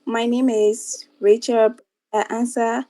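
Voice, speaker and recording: A woman's voice: a little high, flat delivery, takes it slowly, dark tone. A woman from Liberia, introducing herself as a guest on a talk show. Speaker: guest, missionary laboratory technician.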